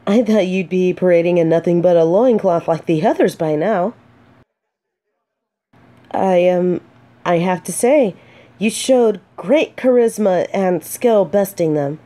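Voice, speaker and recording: Slow-speaking, mellow female knight acted by a woman. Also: on the low side, close to an everyday voice. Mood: shy, fond. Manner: soft, hesitant, earnest, dramatic pauses. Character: gentle, earnest female knight consoling a despairing blind companion. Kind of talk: scripted fantasy romance audio roleplay.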